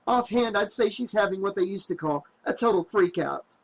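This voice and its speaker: A man's voice: sits high, lively intonation, moderate pace, pitched above the performer's own voice, poor audio. A man voicing a desperate young woman who screams and pleads through tears. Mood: frantic.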